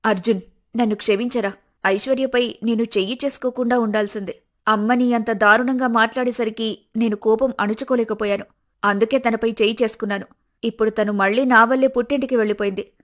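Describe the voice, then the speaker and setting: A woman voicing a hot-tempered grown daughter in a cartoon story, sharp and scolding. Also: fairly high pitch, steady tone, a little fast, close to an everyday voice. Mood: remorseful. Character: fiery grown daughter defending her mother.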